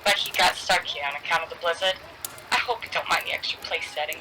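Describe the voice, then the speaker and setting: A woman's voice: on the low side, fast, pushed and bright, poor audio. A woman in an audio play acting a cheerful, chatty, neighborly friend from next door. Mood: cheery.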